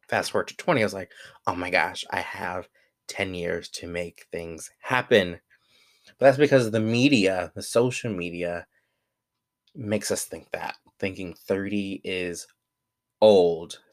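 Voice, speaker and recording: Deep-voiced man in his twenties. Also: dry recording, clean studio sound. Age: 20s. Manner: relaxed and candid, with frequent fillers and self-corrections. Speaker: vlogger. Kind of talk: reading his own essay aloud, then casual reflection.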